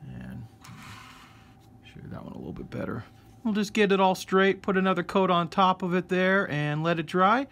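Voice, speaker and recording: Man explaining model painting, mid-high voice. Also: normal speed, gravelly, slight background noise. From United States. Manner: relaxed step-by-step explaining with fillers. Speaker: hobby shop host. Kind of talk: casual modeling tutorial. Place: hobby workbench indoors.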